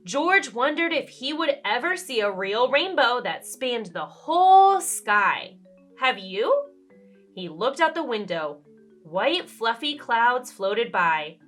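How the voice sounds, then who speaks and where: A woman's voice: fairly high pitch, big pitch swings, slow pace, a bit bright, soft background music. A woman storyteller reading a children's book aloud expressively, with dramatic emphasis and questions to the listener.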